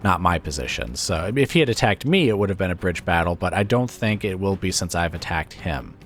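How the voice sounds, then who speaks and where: Gameplay commentator, man, very low voice, raspy from illness, hesitant and musing, faint game music underneath. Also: animated, fairly quick. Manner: musing, hesitant, thinks aloud with fillers.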